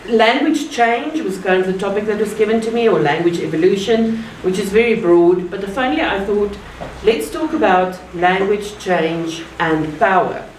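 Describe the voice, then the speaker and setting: A woman from South Africa with an Afrikaans accent, giving an earnest, measured public talk with deliberate pauses. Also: medium pitch, lively intonation. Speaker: guest speaker. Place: art gallery. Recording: roomy, distant mic, faint ambient noise.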